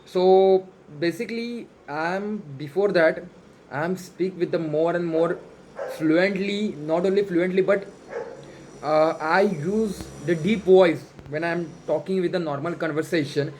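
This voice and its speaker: A man with an Indian accent, a native Marathi speaker, gives an unscripted motivational monologue in halting, repetitive non-native English. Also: sits high, darkish tone, slight background noise, rough recording. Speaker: self-improvement challenge vlogger.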